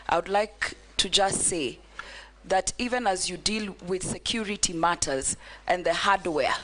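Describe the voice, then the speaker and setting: Casting: Kenyan female legislator, slightly low voice, earnest and insistent in formal committee. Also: a bit bright. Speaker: member of parliament. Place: large wood-panelled parliament chamber. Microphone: desk gooseneck microphone.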